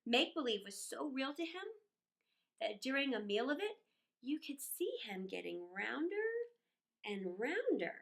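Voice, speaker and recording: A woman reading a children's story aloud in an expressive, playful, theatrical manner with chatty asides. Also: a little high, a little slow, vocal fry.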